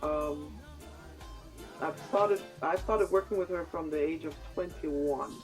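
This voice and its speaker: A soft-spoken woman with a British-tinged Jamaican accent, speaking in a fairly low voice. She talks haltingly as an interview guest, full of fillers and quick to laugh. Faint music sits low behind her. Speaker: reggae singer, guest. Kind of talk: casual interview chat. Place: music studio.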